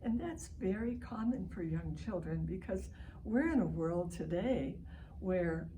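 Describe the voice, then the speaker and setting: A woman's voice: lowish voice, expressive, a little slow, dark tone. Older American woman teaching, distant noisy recording. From Chicago, United States. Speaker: literacy educator leading online family reading sessions. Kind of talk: informal online lesson for families. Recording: distant mic, clearly noisy home recording.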